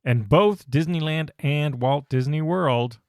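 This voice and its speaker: A man's voice: a little high, slow pace, no echo, studio quality. A man co-hosting a podcast in casual chat, explaining things in a chatty, enthusiastic way. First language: English. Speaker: podcast co-host.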